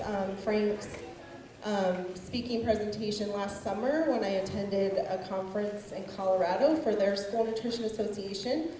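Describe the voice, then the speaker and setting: Echoey, mellow-voiced woman introducing a speaker. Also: medium pitch. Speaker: event host introducing a guest speaker. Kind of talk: prepared introduction speech. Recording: echoey room, far from the mic.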